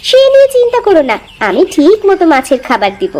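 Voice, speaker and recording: An actress as a high, animated village wife. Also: female voice, fast. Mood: nagging. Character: lively, competitive young village wife, bossy and expressive. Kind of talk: cartoon voice acting.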